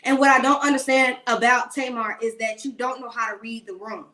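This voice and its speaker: A woman's voice: fairly high pitch, steady tone, a little fast, bright, forceful tone. A woman in her late thirties giving blunt, sassy celebrity gossip commentary in a rambling rant. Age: 30s.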